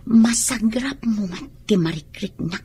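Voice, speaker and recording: An actress playing an evasive, sly nurse in a radio drama. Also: female voice, mid-range voice, measured pace, warm tone, a little hiss or hum, close to an everyday voice. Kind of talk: Ilocano radio drama acting.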